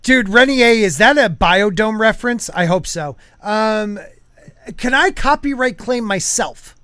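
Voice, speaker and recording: A man's voice: high voice, expressive, unhurried, pushed and bright, smooth, no echo, studio quality. A man hosting a casual podcast, joking around and reading viewer comments aloud. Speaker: podcast host.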